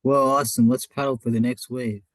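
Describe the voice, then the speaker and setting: A man's voice: mid-range voice, little pitch movement, brisk, dry recording, close to an everyday voice. A man playing a laid-back young surfer dude with casual slang in an amateur radio play. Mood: mellow, impressed.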